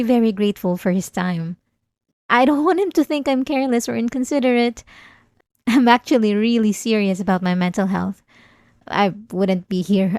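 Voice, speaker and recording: An obsessive, manipulative young woman in therapy, acted by a woman, talks in a fairly high voice for an ASMR roleplay. She is eagerly polite and hesitant, with a nervous, defensive edge. The sound is very dry and close to the microphone. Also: a little mellow, dry recording. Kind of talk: scripted ASMR roleplay acting.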